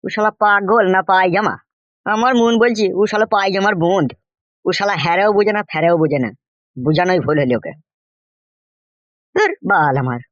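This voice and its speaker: A man's voice: high-pitched, normal speed, pauses often, fairly bright tone, no room sound. A man voicing a nosy, exasperated village uncle in a comic cartoon, impatient and questioning, with a rural West Bengal accent. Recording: dull lo-fi sound.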